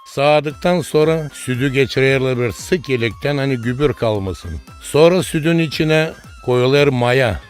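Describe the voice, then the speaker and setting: A man playing a kindly, rustic old father in a cartoon, with a Gagauz accent and a folksy, unhurried way of explaining. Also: mid-range voice, lightly acted. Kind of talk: animated cartoon dialogue.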